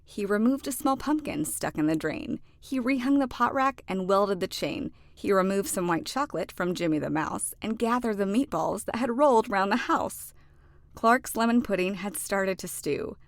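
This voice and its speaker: A woman reads a children's storybook aloud. Her narration is expressive and deliberately phrased, leaning on key words for drama. Her pitch is mid-range and the sound is dry, with very little room. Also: dry recording.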